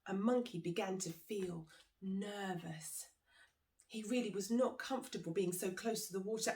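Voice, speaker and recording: A woman reading a children's folk tale aloud as a storyteller, with dramatic delivery aimed straight at the listener. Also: medium pitch. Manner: dramatic, engaging the listener directly. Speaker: author and storyteller.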